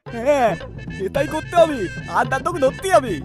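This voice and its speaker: Cartoon son-in-law , female performer: very high voice, agitated grumbler, music behind. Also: expressive, normal speed. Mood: eager. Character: younger village son-in-law, agitated, complaining, eager avenger. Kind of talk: cartoon dialogue.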